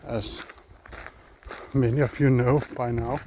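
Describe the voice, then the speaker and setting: A Danish man speaking English in a slow, unhurried way, pausing often to find his words as he thinks aloud. He is recorded outdoors on a handheld phone along a forest trail, with clear background noise under his voice. His pitch sits in an ordinary middle range. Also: steady tone, dark tone, rough recording. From Denmark. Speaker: vlogger. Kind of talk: casual walking vlog.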